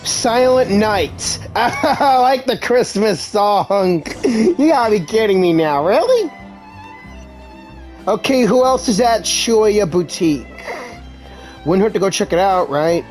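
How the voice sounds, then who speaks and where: A woman's voice: medium pitch, expressive, slow, a little mellow, soft background music. A woman doing casual, chatty video game playthrough commentary, reacting aloud as she plays. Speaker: gamer playing and commenting.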